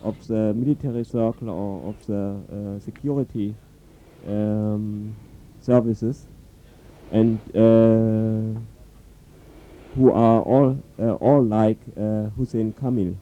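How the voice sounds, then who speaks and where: A man putting interview questions in a halting, hesitant way. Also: on the low side, steady tone, phone-quality sound.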